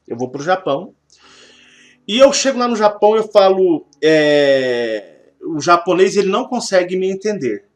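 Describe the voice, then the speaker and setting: High-voiced Brazilian man explaining. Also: animated, moderate pace. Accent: Brazilian Portuguese. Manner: rambling, repeats himself, many fillers. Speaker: spiritual channel host. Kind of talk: spiritual talk, explaining.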